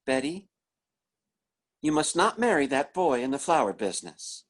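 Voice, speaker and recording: A man acting in a 1940s-style radio drama as an earnest, lovesick young oil surveyor, pleading and halting as he reads out his sentimental love letters. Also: on the high side, sing-song.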